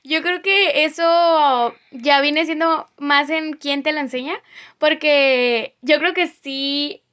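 A woman's voice: high-pitched, steady tone, slow, fairly bright tone. A young woman, chatting casually, rambling and correcting herself as she goes. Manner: rambling, self-correcting, laughing. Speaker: co-host, sister. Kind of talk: casual chat.

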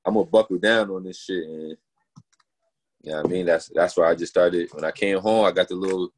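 A man, a rapper from Newburgh, New York, talking casually in an interview with a naturally deep voice, full of slang and "you know what I mean" fillers. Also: steady tone, a little fast. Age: adult, not young. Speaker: rapper guest.

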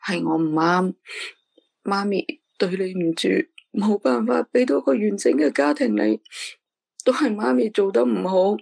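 A woman's voice: mid-range voice, unhurried, vocal fry, darkish tone. A woman with a Hong Kong Cantonese accent voicing an anxious, doting single mother in a radio drama, who talks in a pleading, emotional way. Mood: remorseful.

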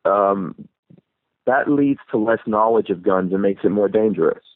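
A man's voice: on the low side, normal speed, dry recording. A man who grew up in New York City, a YouTuber guest chatting easygoing and reflective in an interview. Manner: easygoing, reflective, rambling with restarts. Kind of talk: casual interview chat. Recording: tinny phone line.

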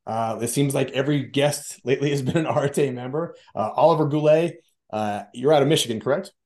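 A man's voice: medium pitch, brisk, darkish tone. Laid-back male podcast host. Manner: laid-back, filler-heavy questions. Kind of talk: casual interview hosting.

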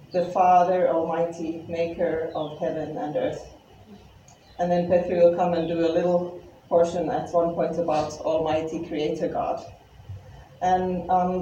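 A woman's voice: lowish voice, warm tone, slight background noise. A woman from Finland with a Finnish accent, giving her first sermon humbly, with light self-deprecating humor and some hesitant fillers. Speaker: lay preacher. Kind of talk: sermon introduction. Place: church hall. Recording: echoey church hall, distant mic, faint ambience.

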